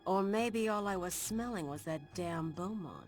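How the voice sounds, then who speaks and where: A woman with an American accent playing a bold, wry, determined young adventurer in a video game cutscene, with brisk, resolute delivery. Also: medium pitch, a little mellow, soft background music, close to an everyday voice. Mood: reflective, wry.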